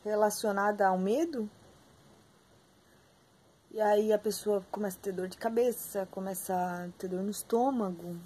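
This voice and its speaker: A young adult woman with a Brazilian Portuguese accent teaches an online class from a textbook. She sounds hoarse and husky, keeps clearing her throat, fills her talk with hesitations and speaks straight to her students. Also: medium pitch, fairly even intonation, moderate pace, long pauses, dark tone, a little hiss or hum. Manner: filler-heavy, addresses students directly. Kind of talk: online lecture reading from a textbook.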